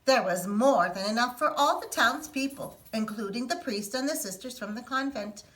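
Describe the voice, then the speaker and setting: A woman teacher reading a picture book aloud to children in an expressive storytelling manner. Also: on the high side, normal speed, poor audio. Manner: expressive, sing-song storytelling.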